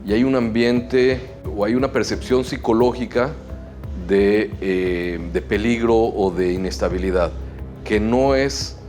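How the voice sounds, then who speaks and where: A middle-aged man from Mexico with a Mexican Spanish accent, answering interview questions in a measured way with frequent hesitations. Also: fairly low pitch, a little mellow, soft background music. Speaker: chamber of commerce president.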